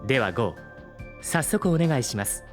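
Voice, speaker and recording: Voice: medium pitch, expressive, normal speed, close to an everyday voice. A performer playing a polite artificial intelligence in an audio drama, speaking formally and measuredly without emotion. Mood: calm, courteous. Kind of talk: scripted audio drama acting. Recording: music behind the voice.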